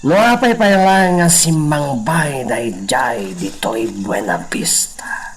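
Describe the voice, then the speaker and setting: A man's voice: on the high side, animated, takes it slowly, a little hiss or hum. A man with an Ilocano accent playing a resentful but deferential farmhand in a radio drama. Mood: grumbling, envious.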